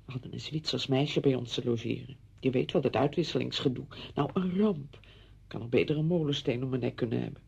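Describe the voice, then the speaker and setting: A woman's voice: a bass voice, fairly quick, vocal fry, darkish tone, breathy edge, muffled recording, heavily acted. An actress playing a chatty, apologetic married woman visiting a friend in a radio drama, effusive, rambling and flustered.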